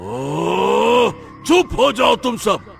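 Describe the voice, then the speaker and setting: A man's voice: high voice, big pitch swings, very slow, creaky, soft background music, exaggerated voice. A man playing a savage, cruel man-eating monster in a cartoon story, making menacing, commanding threats. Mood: angry threatening. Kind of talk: dramatic animated story dialogue.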